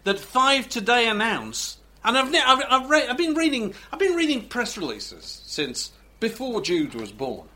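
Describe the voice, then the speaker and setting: A man hosting a podcast and chatting in a wry, jokey way. His voice is high and bright. His pitch moves a lot. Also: very animated, normal speed, hardly any pauses. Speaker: podcast host. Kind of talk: casual chat.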